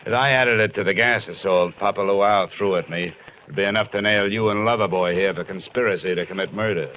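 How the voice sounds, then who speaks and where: Low, sardonic tough-guy detective, old radio show, noisy recording. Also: a little fast, sharp tone. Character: wry, hard-boiled male insurance investigator. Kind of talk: 1950s radio detective acting, first-person narration and dialogue.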